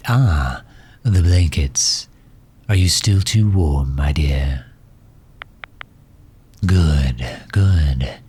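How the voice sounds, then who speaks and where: A man playing a formal, solicitous megalomaniac villain gently tending his injured nemesis in an intimate ASMR roleplay, with a husky, breathy low voice. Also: expressive, extremely slow, pauses often, full character voice. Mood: gentle concern.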